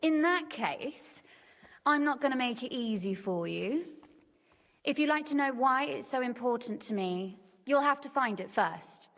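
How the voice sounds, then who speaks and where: A woman's voice: sits high, expressive, a little slow, a bit bright, big-room sound, full character voice. An actress playing a shrewd, teasing young medieval noblewoman in a video game, speaking in articulate, formal phrases. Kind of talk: scripted game dialogue.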